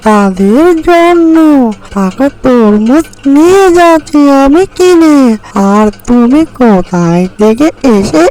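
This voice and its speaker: Woman as little cartoon bird, high-pitched, unhurried, scolding, kind underneath. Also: expressive, a little mellow, low-quality recording. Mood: indignant. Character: small female tailorbird, indignant yet kindly. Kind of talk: animated fairy-tale cartoon dialogue.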